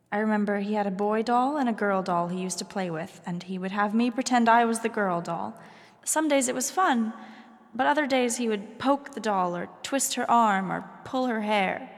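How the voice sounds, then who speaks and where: A woman playing a troubled, melancholy young highborn bride in a fantasy audio drama, speaking formally and confessionally. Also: in a large hall, natural voice.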